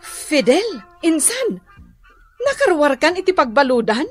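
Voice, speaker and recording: A homely Ilocano cousin in a radio drama. Her high, expressive voice takes its time, exclaiming in a conversational way. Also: measured pace, soft background music. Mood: surprised, welcoming. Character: hospitable female cousin, homely neighbor.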